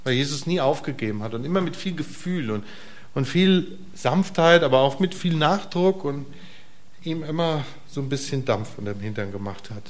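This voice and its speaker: A man's voice: fairly high pitch, moderate pace. Casual, emphatic male preacher. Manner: casual, conversational preaching with rhetorical questions to the congregation; shifts between emphatic bursts and quiet, soft asides. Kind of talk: sermon. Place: church hall before a congregation.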